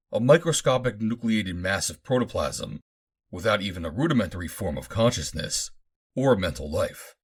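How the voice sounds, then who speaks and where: Man as a lecturing, husky Martian scientist. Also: on the low side. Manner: lecturing, formal, grandiose. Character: Martian master scientist, proud, calculating creator of artificial bodies.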